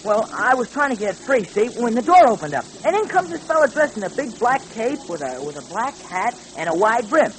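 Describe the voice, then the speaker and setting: An actor playing an earnest, excitable teenage boy sidekick in 1940s radio drama style. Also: mid-range voice, fairly quick, hardly any pauses, close to an everyday voice. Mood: eager. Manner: earnest, eager, exclamatory. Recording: faintly noisy old radio recording.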